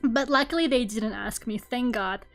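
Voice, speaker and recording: A high-pitched woman chats casually on a drawing stream, rambling along and poking fun at herself. Soft music plays faintly behind her. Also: normal speed. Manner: chatty and self-deprecating. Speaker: VTuber streamer. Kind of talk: casual chat while drawing.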